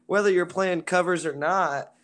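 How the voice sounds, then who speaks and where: A raspy-voiced man from a band talks loosely about his music. He rambles, circling back with fillers, in an easygoing interview tone. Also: high-pitched, moderate pace. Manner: relaxed, rambling with many fillers. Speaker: band singer. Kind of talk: casual interview chat.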